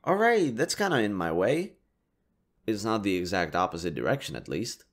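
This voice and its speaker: A man's voice: fairly low pitch, sing-song, normal speed. A man acting a kind, teasing young stranger who guides a lost girl, speaking casually to the listener in an intimate roleplay audio drama. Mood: gentle, guiding. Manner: casual, playfully teasing, mildly awkward, swearing.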